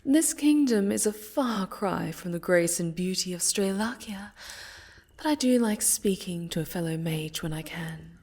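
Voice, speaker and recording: A woman playing a haughty, sinister necromancer villainess in a soft-spoken ASMR roleplay, silky, condescending and mockingly sweet, often sinking to a whisper. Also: lowish voice, lively intonation. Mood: sly, curious. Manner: condescending, silky, menacing, drawn-out.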